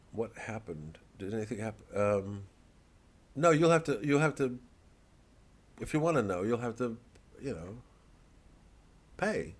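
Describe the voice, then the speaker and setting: A man, a comedian, giving a rambling, casual monologue to an online audience in a halting, digressive, self-deprecating way. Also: fairly low pitch.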